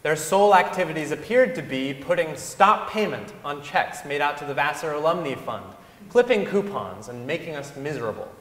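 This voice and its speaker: A man's voice: fairly high pitch, fairly bright tone. A young man giving a scripted dramatic reading from a concert hall stage. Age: young adult. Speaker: dramatic reader. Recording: echoey concert hall with long reverb.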